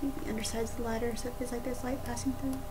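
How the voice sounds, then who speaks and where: Low-key young woman, noisy background. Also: quick pace. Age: young adult. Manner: low-key, musing to herself in short bursts. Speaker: animator livestreaming her work. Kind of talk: casual livestream chat while working. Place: indoor room at a desk. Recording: clear steady background noise.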